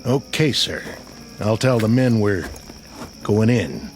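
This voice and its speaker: An actor playing a war-weary, blunt army sergeant in a radio drama, dry, sardonic and plain-spoken. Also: male voice, lowish voice, animated, takes it slowly, faint music behind, rough recording. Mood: dry, resigned.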